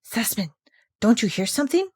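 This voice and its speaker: A woman reading aloud voices a kindly older male doctor in a storybook, speaking in a gentle, soothing way. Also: on the high side, sing-song, normal speed, husky, pitched above the performer's own voice.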